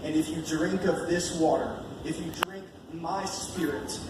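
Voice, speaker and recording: A man's voice: fairly high pitch, measured pace. Echoey, distant American man preaching. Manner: colloquial, emphatic, repeating phrases for effect. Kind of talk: informal evangelistic sermon. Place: large echoey room at a live church gathering. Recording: echoey room from far off, noisy.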